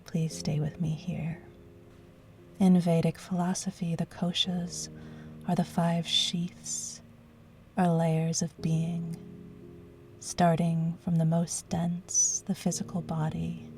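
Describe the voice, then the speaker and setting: Slow, hushed woman guiding relaxation. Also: lowish voice, slight background noise. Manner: soothing, gentle, breathy hushed delivery. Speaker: guided meditation teacher. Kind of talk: guided yoga nidra relaxation.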